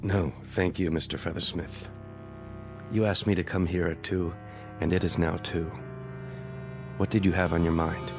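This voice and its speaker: A man's voice: sits low, fairly even intonation, normal speed, pauses often, music in the background, close to an everyday voice. An actor playing a stern, embittered old businessman in an audio drama, speaking formally with precise, disdainful diction. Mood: curt.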